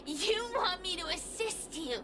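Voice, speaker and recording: Mocking fire spirit. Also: female voice, very high voice, animated, heavy background noise. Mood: taunting. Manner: taunting, mocking, drawn-out. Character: sly scheming fire-creature spirit, female-voiced. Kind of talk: game cutscene voice acting. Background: game score music.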